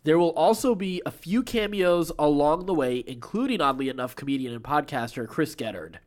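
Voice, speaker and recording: A man's voice: fairly high pitch, lively intonation, a little fast, darkish tone. A man hosting a podcast, reading entertainment news with informal, enthusiastic, chatty asides. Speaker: podcast host.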